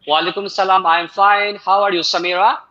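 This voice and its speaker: Bright-voiced male tutor on a dull line. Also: high-pitched, little pitch movement. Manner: halting, with many fillers. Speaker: English speaking tutor. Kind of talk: live online exam practice.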